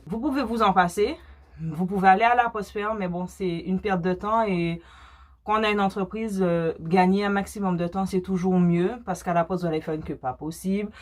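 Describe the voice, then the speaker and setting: A woman's voice: medium pitch, normal speed. A woman from the French Caribbean chatting casually on video, explaining things step by step with practical advice. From French Caribbean (Guadeloupe and Martinique). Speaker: small cosmetics business co-owner answering questions.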